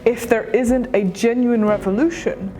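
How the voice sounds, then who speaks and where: A woman's voice: on the high side, steady tone, measured pace, warm tone. Articulate French-born woman teacher. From France. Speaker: university teacher. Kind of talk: documentary interview.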